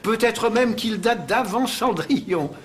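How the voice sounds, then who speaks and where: A man plays a persuasive antique dealer from a fantasy tale in an extremely high voice. He pitches his wares with insistent assurance, over faint noise in an amateur dub. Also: measured pace. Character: smooth-talking male antique dealer, insistent salesman. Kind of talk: fan dub acting of a fantasy series.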